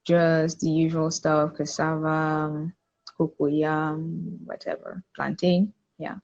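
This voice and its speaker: A woman's voice: sits low, level tone, slow pace, vocal fry, darkish tone, highs cut off. A woman in her twenties with a Cameroonian accent, casually answering interview questions as a podcast guest. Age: 20s.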